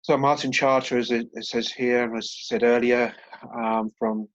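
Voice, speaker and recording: A man's voice: mid-range voice, level tone, normal speed, dry recording. A man, a professor, giving a webinar presentation over a video call, hesitant with many fillers and restarts. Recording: dry, dull lo-fi call audio.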